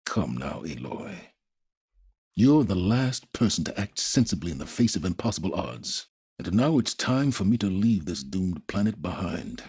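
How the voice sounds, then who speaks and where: An actor playing a cold, calculating, aloof scholar in a video game, speaking formally with measured, condescending, sardonic phrasing. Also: male voice, sits low, very animated, darkish tone, slightly rough, full character voice. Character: cold, calculating, aloof male scholar, middle-aged or older. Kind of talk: scripted video game voice acting.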